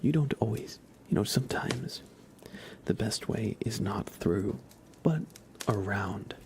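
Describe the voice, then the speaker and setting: A man with a fairly low voice hosts an ASMR livestream, talking to viewers close to the microphone. He is soft and breathy, often dropping to a whisper, with a soothing, unhurried manner. A faint ambient noise sits behind him. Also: animated. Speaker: livestream host.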